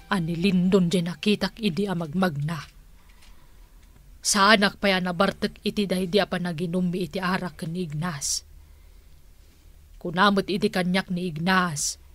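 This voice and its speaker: A woman's voice: fairly low pitch, no room sound. A woman playing a bossy older household matriarch in a comedy radio drama, speaking with exclamatory authority.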